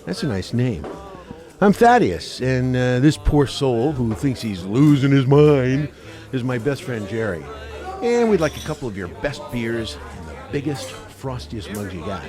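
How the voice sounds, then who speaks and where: A man's voice: mid-range voice, sing-song, measured pace, music in the background. A man playing a skeptical, wisecracking hunter in a radio play, teasing and sociable. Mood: jovial, teasing. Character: wisecracking, skeptical hunting buddy, adult man.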